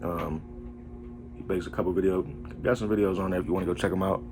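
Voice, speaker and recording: Man in his twenties, reaction host, casual, laid-back, low voice. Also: fast, rough recording. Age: 20s. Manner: laid-back, relaxed, talks to chat. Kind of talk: casual commentary.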